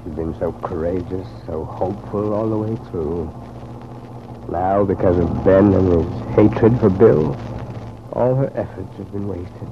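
An actor playing a grave, regretful man in an old-time radio serial, speaking in an earnest, measured way. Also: deep voice, vocal fry. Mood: brooding. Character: grave, regretful man, troubled family friend. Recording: muffled, noisy old radio recording.

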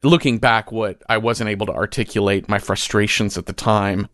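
A man in a casual, reflective interview as a guest, talking in a halting way as he thinks aloud. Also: lowish voice, fairly even intonation, fairly bright tone, no room sound. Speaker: podcast guest, fiction writer.

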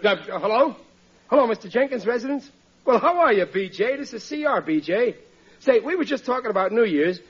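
A man's voice: very high voice, expressive, a little fast, husky, full character voice. An American man in middle age acting a blustering, gullible working-class riveter in a classic radio sitcom, exclaiming in ungrammatical speech. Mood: eager, pestering. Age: middle-aged. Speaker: comic lead actor. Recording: muffled vintage radio recording.

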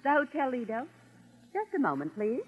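An actress playing a polite, businesslike secretary in an old-time radio drama. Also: female voice, sits high, very animated, moderate pace, slightly rough, heavily acted. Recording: dull old radio recording, music behind.

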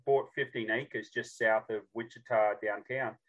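An Australian man in his fifties telling stories casually as a podcast guest, jokey and animated as he acts out bits of dialogue. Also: on the low side, unhurried, dull audio. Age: 50s. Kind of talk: casual storytelling.

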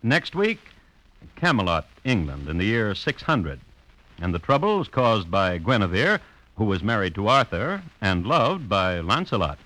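Crisp, droll radio crime chronicler. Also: male voice, lowish voice, exaggerated voice, rough recording. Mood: droll, deadpan. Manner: deadpan, measured storytelling. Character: dry, droll crime chronicler hosting the show. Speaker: host and narrator. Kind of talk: 1950s radio scripted narration. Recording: hissy old radio broadcast.

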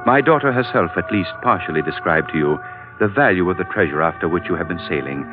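An actor playing an elderly, dignified Chinese patriarch who speaks formally and solemnly in a vintage radio drama. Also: male voice, deep voice, animated. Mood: solemn pride. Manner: formal, stately, measured oratory. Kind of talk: 1940s radio drama acting. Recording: muffled vintage radio recording, music behind.

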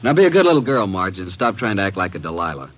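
An actor playing a brash, skeptical private detective, blunt and wisecracking, in an old radio drama. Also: male voice, low-pitched, sing-song, fast, a bit bright, heavily acted, rough recording. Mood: wry, skeptical.

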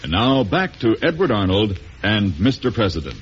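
A man's voice: lowish voice, very animated, moderate pace, a little hiss or hum. A man announcing in a formal, promotional 1940s radio style, reading from a script. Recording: dull old radio recording.

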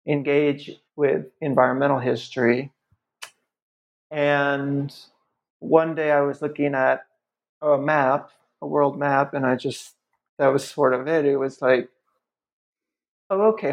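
A history professor from upstate New York, talking in a relaxed podcast interview, thoughtful and full of ums and restarts. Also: male voice, mid-range voice, creaky. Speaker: guest author. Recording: muffled lo-fi audio.

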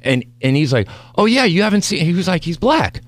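A man from Mexico hosting a casual interview podcast, animated and rambling, cursing freely and restarting his sentences. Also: on the high side, a little fast, no echo. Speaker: podcast host.

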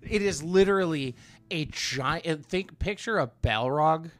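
A man co-hosting a podcast in casual chat, talking in an informal, halting way with loose, slangy phrasing. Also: a little high, faint music behind. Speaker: podcast co-host.

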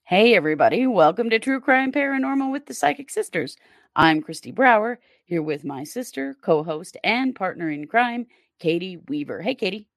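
Hoarse, gravelly-voiced woman host. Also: mid-range voice, lively intonation, normal speed. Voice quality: raspy. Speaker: podcast host. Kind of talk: casual podcast chat.

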